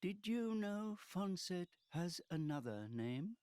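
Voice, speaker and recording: A man's voice: sits high, very animated, slow, mellow, clean tone, no room sound, heavily acted. A man playing a wise, grave old guardian grandfather in a video game, speaking in a solemn, cryptic manner. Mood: solemn, knowing. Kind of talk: dramatic video game acting.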